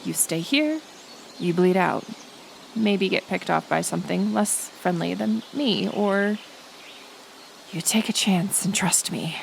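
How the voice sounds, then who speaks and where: A woman playing a kind, stubborn healer who lives in the woods, speaking haltingly and earnestly to the listener in a roleplay audio drama. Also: mid-range voice, gravelly. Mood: indignant, insistent. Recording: outdoor nature ambience, insect noise. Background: forest ambience with insects.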